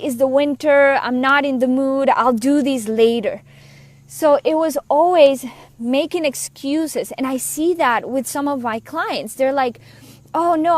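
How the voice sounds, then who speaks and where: Colombian woman with a bright, higher voice. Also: no room sound. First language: Spanish. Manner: earnest, conversational, quick asides. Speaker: business coach. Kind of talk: personal story monologue.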